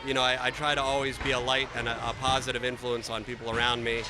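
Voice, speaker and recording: A man talks casually as an interviewee. He speaks quickly with little pitch movement, over clear location noise. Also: flat delivery.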